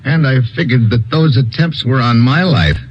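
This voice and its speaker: A man's voice: medium pitch, lively intonation, moderate pace, noisy background, close to an everyday voice. An actor playing a tough, wisecracking male agent in a 1950s radio drama, dry and confident. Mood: wry. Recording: dull old radio broadcast.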